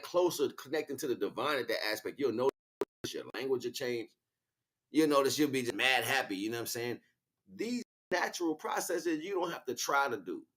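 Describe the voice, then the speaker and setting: A man's voice: medium pitch, a bit bright. A man livestreaming a casual, rambling spiritual monologue in street slang, often checking in with rhetorical questions. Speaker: livestream host.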